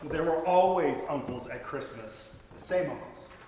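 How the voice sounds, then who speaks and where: A man giving an expressive dramatic reading of a story, lingering over its phrases. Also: a little high, unhurried. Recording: echoey hall with faint noise.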